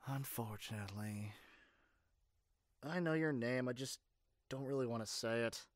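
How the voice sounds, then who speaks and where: A man's voice: medium pitch, unhurried, no room sound, studio quality, natural voice. A man playing a caring, modest, socially awkward superhero in a conversational audio roleplay, speaking casually and hesitantly. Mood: exasperated.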